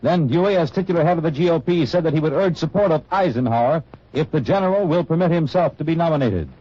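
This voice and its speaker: Husky, higher-voiced American radio newsreader man. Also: fast, mellow. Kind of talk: 1950s news bulletin reading. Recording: muffled old radio recording.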